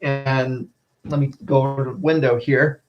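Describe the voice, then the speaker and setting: An American man giving a casual live weather update as a storm spotter, talking in a halting, unhurried way. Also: mid-range voice, level tone. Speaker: storm spotter, channel host. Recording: roomy, distant, narrow-band livestream sound.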